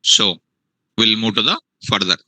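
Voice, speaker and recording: A male teacher gives a live programming lecture to a class. His voice is sharp and bright, a little low in pitch, and he says each line again slowly so students can write it down. The sound is dry and close. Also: lively intonation, dry recording, poor audio. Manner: repeats phrases slowly for dictation. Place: classroom, also streamed to online students. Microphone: online meeting.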